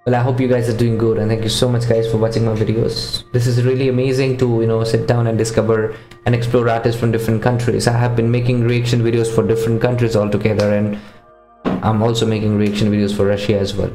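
A man's voice: medium pitch, little pitch movement, fast, dark tone, roomy sound. A man in his thirties hosting a music reaction video, chatting casually and earnestly to viewers as a non-native English speaker. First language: not English or Hindi, another mother tongue. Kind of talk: casual chat to camera. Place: small home studio room with posters. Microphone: studio condenser microphone on boom arm.

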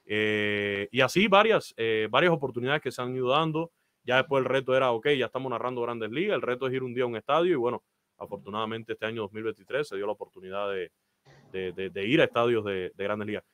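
A man's voice: mid-range voice, quick pace, a bit bright, no echo. Cuban-accented man telling his career story. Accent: Cuban Spanish. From Cuba. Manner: earnest, advice-giving, many "eh" fillers. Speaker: baseball play-by-play announcer. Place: small room, indoors. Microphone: video call.

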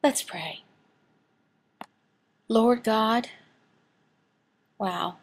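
Female sermon interpreter, slightly high voice, slow and deliberate phrase-by-phrase delivery. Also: gravelly. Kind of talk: sermon and prayer, voiced from sign language.

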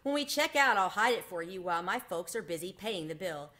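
A woman's voice: medium pitch, lively intonation, brisk, pushed and bright. A woman voicing a friendly, generous schoolboy in an earnest, coaxing way for a children's storybook. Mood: glad.

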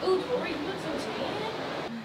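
High-voiced nervous young woman. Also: a little slow, background noise. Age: young adult. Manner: nervous, protesting. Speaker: girlfriend on vlog. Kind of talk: casual chat. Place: outdoors on a jet ski at sea.

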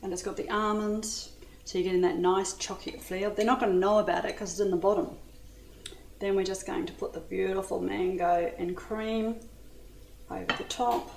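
A middle-aged Australian woman talks through a dessert recipe in an enthusiastic, chatty way, her pitch sitting in the middle of the range. She is recorded in a roomy, echoey kitchen with a faint hiss behind her. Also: fairly even intonation, moderate pace, vocal fry. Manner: chatty, enthusiastic, addresses viewers as ladies. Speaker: cooking demonstrator. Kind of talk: cooking demonstration. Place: home kitchen studio. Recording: echoey kitchen with light noise.

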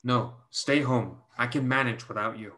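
A man acting a protective, lovelorn brother figure in a staged drama reading over a video call, speaking earnestly and haltingly. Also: mid-range voice, bright, forceful tone, close to an everyday voice. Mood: hurt, firm. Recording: clear background noise on video call.

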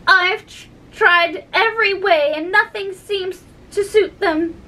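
A woman's voice: very high-pitched, lively intonation, slow, sharp tone, distant mic, voice pushed up, heavily acted. A woman voicing an exasperated, long-suffering mother pigeon in a storybook, complaining and scornful. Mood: sobbing frustration. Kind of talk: storybook character voice.

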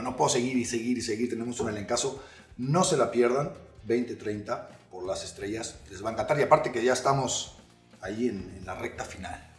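Young male host, casual and upbeat cooking chat, middle pitch, roomy echoey room, soft music bed. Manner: upbeat, playful, addressing viewers directly. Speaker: actor presenting a recipe.

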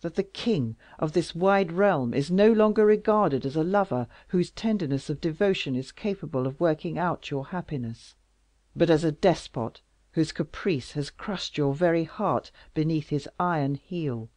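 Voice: mid-range voice, lively intonation, slow pace, no room sound. A reader voicing a thundering lovesick king. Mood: pleading passion. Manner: loud, impassioned, declamatory. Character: passionate powerful male king, lovesick and wrathful. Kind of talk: audiobook character reading.

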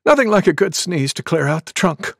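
A man's voice: on the high side, sing-song, brisk, vocal fry, husky, dry recording, studio quality, pitched above the performer's own voice, heavily acted. A man voicing a courteous, oblivious male elephant in a children's story. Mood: polite, mild. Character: polite, congested, oblivious big male elephant.

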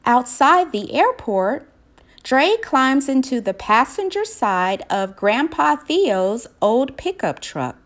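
Woman, high voice, slow deliberate pacing, children's storybook narration, expressive.

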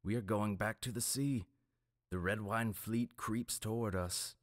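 A man's voice: fairly low pitch, steady tone, measured pace, voice pushed up, close to an everyday voice. A man doing a gloating pirate captain. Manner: blunt, gloating.

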